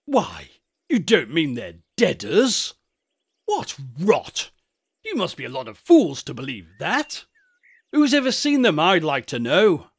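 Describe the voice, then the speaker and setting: A man's voice: on the high side, big pitch swings, measured pace, slightly rough, voice pushed up, full character voice. A man voicing a rude, cocky English schoolboy in a storybook, brash and dismissive. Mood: scornful.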